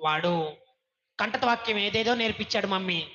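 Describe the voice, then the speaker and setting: Performer putting on a bright, whiny little-brother puppet. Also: medium pitch, moderate pace, lightly acted. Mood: pleading. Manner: whining, exaggerated, pleading. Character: whiny, put-upon little brother puppet, a small boy. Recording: dull, reverberant hall sound.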